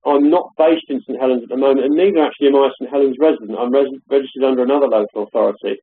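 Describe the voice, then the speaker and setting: A husky man walks through a software demo, often pausing and restarting. His voice comes over tinny, phone-like call audio from a home office. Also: mid-range voice. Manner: hesitant, filler-heavy explaining. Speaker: software product demonstrator. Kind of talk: live webinar product demo.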